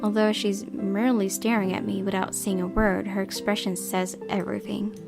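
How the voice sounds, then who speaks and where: A woman narrates a visual novel with expression, reading as she plays. Her mid-pitched voice has plenty of creak, and music plays clearly underneath. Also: flat delivery, normal speed. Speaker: game player reading aloud.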